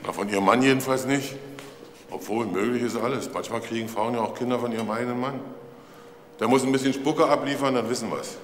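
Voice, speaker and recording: A man's voice: mid-range voice, brisk, natural voice. A man playing a blunt police detective in a crime drama, dry and sardonic. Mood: dry, probing. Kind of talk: German TV crime drama dialogue. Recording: roomy sound with faint noise.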